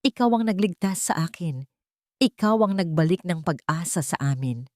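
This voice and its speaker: A woman voicing a gentle, grateful elderly grandmother in an audio story, soft but firm. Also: fairly low pitch, expressive, moderate pace, no room sound, clean studio sound, close to an everyday voice. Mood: tearful gratitude.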